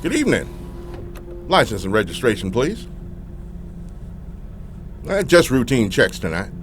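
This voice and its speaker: A polite male police officer in an audio drama, formal and procedural. His mid-pitched voice swings very widely in intonation. Faint music plays behind him. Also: sing-song, a little slow. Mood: official, polite.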